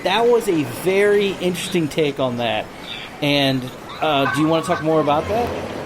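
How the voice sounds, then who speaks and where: A man with a fairly high voice hosts a casual phone interview. He speaks conversationally, and there is clear background noise and ambience on the recording. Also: animated, moderate pace. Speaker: podcast host. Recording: noisy phone call with outdoor ambience.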